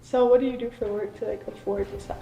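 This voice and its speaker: Mellow-voiced young woman chatting. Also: fairly high pitch, steady tone, fast talker, vocal fry, muffled recording, faint music behind. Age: young adult. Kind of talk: casual chat.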